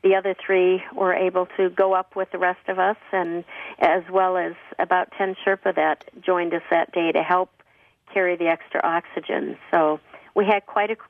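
Husky-voiced woman on a tinny phone line. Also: on the low side, level tone, normal speed, no echo, distant mic. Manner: plain-spoken, earnest, with frequent restarts. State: husky from a cough. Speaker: guest on a call-in radio show. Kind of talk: relaxed conversational interview answers.

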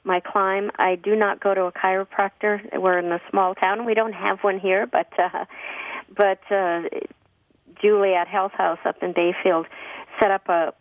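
A woman guest on a call-in radio show, her voice husky from a cough, answering in an earnest, plain-spoken way with frequent restarts. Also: mid-range voice, level tone, unhurried, no echo. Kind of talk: relaxed conversational interview answers. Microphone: phone line. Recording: tinny distant phone line.

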